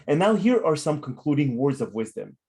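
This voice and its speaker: A man hosting a podcast, politely affirming his guest and reading a measured closing. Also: fairly high pitch, very animated, warm tone. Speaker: podcast host. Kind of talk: podcast interview and scripted closing.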